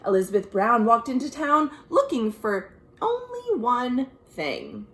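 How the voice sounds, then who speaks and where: An American woman, a children's librarian, reading a picture book aloud in an expressive, sing-song way with chatty asides to young listeners. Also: fairly high pitch, a little slow, echoey room. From United States. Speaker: children's librarian hosting a virtual storytime. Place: at home.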